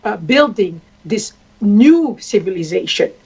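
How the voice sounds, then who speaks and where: A woman from Congo, a traditional queen, speaking at length in a deliberate way and repeating phrases for emphasis. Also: medium pitch, expressive, darkish tone, breathy edge. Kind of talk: spoken address. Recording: dull lo-fi sound.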